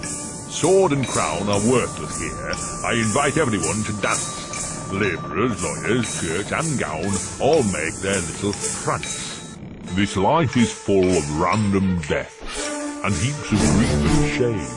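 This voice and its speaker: A man's voice: lowish voice, sing-song, slow pace. A man voicing a pompous, macabre showman villain in a video game, reciting theatrically with sinister relish. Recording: loud music under the voice.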